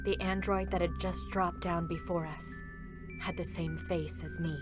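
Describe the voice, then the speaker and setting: A composed female combat android, voiced by a woman, calm and measured. Her voice sits slightly low, with faint music playing behind her. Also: lightly acted. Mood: restrained. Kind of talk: live concert reading of game scenes.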